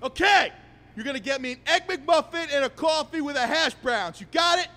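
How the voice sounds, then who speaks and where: Man playing a high-pitched, foul-mouthed robber. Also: animated, brisk, pushed and bright, big-room sound, heavily acted. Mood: exasperated. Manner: bullying, profane, ranting. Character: foul-mouthed, short-tempered male fast-food robber with petty demands. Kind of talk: profane comedy sketch acting.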